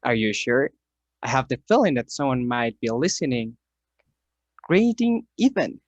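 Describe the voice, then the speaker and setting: A man's voice: medium pitch, big pitch swings, a little slow, dry recording, highs cut off. A man playing a wry, knowing narrator in a radio play. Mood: teasing. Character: wry male narrator who breaks into the scene.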